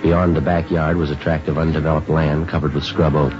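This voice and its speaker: An actor playing a terse homicide detective in an old radio drama, narrating in clipped, matter-of-fact, understated phrases. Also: male voice, rumbling low, flat delivery, a little fast, music in the background. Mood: flat, factual. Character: terse, businesslike male police homicide detective sergeant. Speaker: lead actor and first-person narrator. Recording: dull vintage radio recording.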